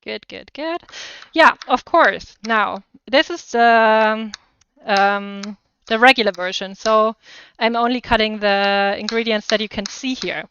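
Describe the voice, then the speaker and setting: Female cooking stream host, German accent, very smooth clean voice, chatty and upbeat, dry but slightly dull sound. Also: on the high side, slow pace, fairly bright tone, no echo. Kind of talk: casual chat to live viewers while cooking.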